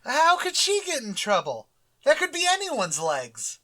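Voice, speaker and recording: High-pitched cocky teenage prankster. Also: male voice, wildly swooping pitch, unhurried, sharp tone, heavily acted. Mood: gleeful, cocky. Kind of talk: old-time radio sitcom acting.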